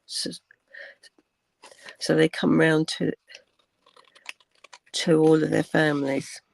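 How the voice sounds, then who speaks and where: An older woman with a croaky voice, chatting casually through a craft tutorial, rambling with many ums and dropping to a whisper while she works. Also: low voice, little pitch movement, slow pace, poor audio. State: croaky from cold weather. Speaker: crafting channel host.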